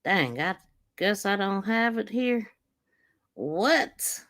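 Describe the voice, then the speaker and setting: A woman hosts a crime show with unhurried, drawn-out delivery. She is blunt and exasperated, commenting casually and scornfully, leaning hard on her phrases. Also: medium pitch, animated, fairly bright tone. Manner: emphatic, repeats phrases mockingly. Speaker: crime podcast host. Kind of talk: casual live commentary on played audio.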